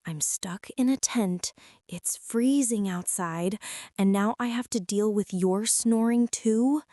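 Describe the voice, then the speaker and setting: A woman's voice: medium pitch, slow pace, warm tone, no echo, lightly acted. A young woman playing a prickly, defensive teenage school bully in an intimate girlfriend ASMR roleplay, sulky and sarcastic, close to the mic. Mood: exasperated. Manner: sulky, sarcastic, huffy, with sighs and whispers.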